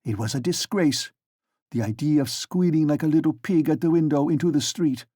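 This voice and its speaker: A man voicing a flustered, garrulous landlady with a German accent, pattering rapidly as she defends herself. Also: on the high side, dark tone, dry recording, clean studio sound, lightly acted. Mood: indignant pleading. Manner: rapid pattering, repeated "Mister Captain".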